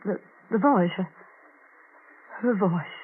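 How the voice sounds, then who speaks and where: A woman's voice: mid-range voice, takes it slowly, phone-quality sound, natural voice. An actress playing a frail, frightened old woman in 1940s radio horror, faltering and pleading. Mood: fearful, pleading. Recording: scratchy, thin, noisy old radio recording.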